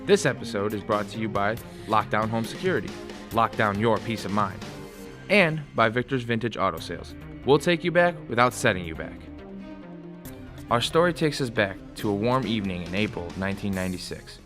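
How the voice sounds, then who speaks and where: A man's voice: on the low side, animated, fairly quick. A man reading scripted dramatic narration as the narrator of a radio play. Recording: music behind the voice.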